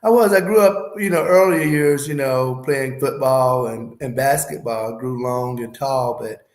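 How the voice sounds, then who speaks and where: Brief: Southern man in his fifties, interview guest, easygoing and filler-heavy, mid pitch, roomy echo. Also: measured pace. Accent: Southern US with Midwestern speech. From Alabama, USA. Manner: relaxed, frequent "you know" fillers. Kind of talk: casual interview talk.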